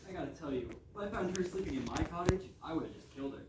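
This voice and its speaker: A woman's voice: low-pitched, lively intonation, rapid-fire, background noise. A woman playing a put-upon, darkly sarcastic dwarf servant who gripes drily in a comic stage play. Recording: echoey hall, distant mic, audience murmur.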